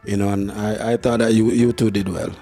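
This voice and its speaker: A man judging contestants in a measured, halting way. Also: lowish voice, little pitch movement, dark tone, soft background music. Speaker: judge.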